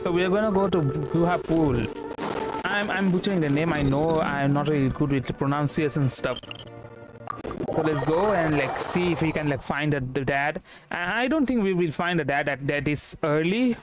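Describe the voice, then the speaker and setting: Higher-pitched Indian man over loud music. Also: rough recording. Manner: halting, repeats words. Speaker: VTuber streamer. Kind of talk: casual game stream commentary. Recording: loud game music behind. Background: game music.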